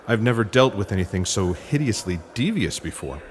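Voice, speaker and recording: A man's voice: on the low side, animated, fairly quick, slight background noise, natural voice. A man acting a dry, patient intelligence agent with a wry manner in a comic audio drama.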